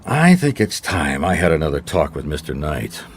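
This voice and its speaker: Low-voiced, wry private detective. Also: male voice, big pitch swings, moderate pace, faint music behind, full character voice. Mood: skeptical. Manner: dry, conversational, probing. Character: wry, persistent male private detective, noir narrator. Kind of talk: radio mystery drama, dialogue and narration.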